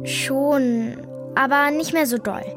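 A woman's voice: sits high, slow pace, loud music behind the voice. A female performer playing a cheeky, outspoken, talkative schoolgirl in a German radio play. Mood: frank, explaining. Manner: pert, talkative, earnest.

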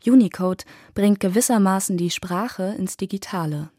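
A woman narrating a scripted radio feature in an even, measured way. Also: medium pitch, breathy edge, no room sound, clean studio sound. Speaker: radio reporter.

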